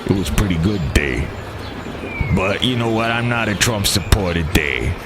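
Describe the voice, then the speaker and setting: Man voicing gruff sidekick, very low voice, odd verbal tic, street noise behind. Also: lively intonation, normal speed. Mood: offhand. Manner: tacks odd words onto his sentences. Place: outside a cafe.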